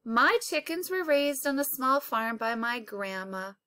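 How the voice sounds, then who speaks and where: Woman reading as proud storybook mother, folksy egg seller, high voice, unhurried, dry close sound. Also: expressive, sharp tone, clean tone, dry recording. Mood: persuasive. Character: proud, folksy mother selling farm eggs. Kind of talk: children's storybook read-aloud.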